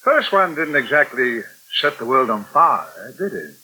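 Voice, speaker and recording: An actor playing a brusque, self-assured British newspaper owner in a 1980 radio soap. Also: male voice, mid-range voice, big pitch swings, unhurried, bright, forceful tone, slight background noise, exaggerated voice. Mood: sceptical, cutting. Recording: dull 1980 radio recording.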